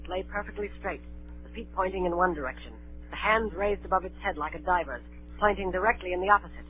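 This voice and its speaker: Male actor as earnest high-voiced boy adventurer. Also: fast talker, close to an everyday voice. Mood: solemn narration. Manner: earnest, declamatory. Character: brave, earnest boy adventurer telling his tale. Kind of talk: 1930s radio acting and narration. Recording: scratchy 1930s radio broadcast, narrow band, music.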